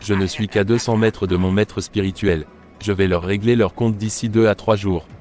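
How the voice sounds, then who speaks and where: A man's voice: on the low side, steady tone, moderate pace, a little mellow, soft background music, lightly acted. A man voicing a jealous, scheming older brother.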